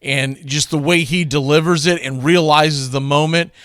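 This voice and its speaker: Male sports radio host, slightly high clean voice, conversational and opinionated commentary on old calls. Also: steady tone, normal speed, fairly bright tone, clear, resonant tone, dry recording, clean studio sound. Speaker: host of a baseball radio show. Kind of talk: commentary introducing classic baseball calls.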